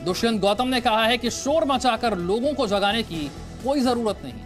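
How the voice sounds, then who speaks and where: A man's voice: extremely high pitch, animated, normal speed. A man presenting television news as an anchor, explaining developments directly to viewers. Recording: music bed under the voice.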